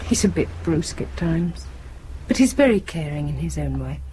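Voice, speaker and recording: An actress playing a concerned, exasperated grown-up British daughter in a naturalistic radio drama, probing and direct. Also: female voice, low-pitched, big pitch swings, moderate pace, mellow, slight background noise. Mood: guarded. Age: young adult.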